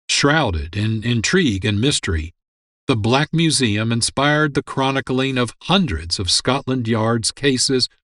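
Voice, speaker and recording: A man with a mid-range voice hosts a radio program, reading scripted documentary narration at a measured delivery. The sound is clean of any room echo. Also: mid-range voice, clean studio sound. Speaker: producer and host.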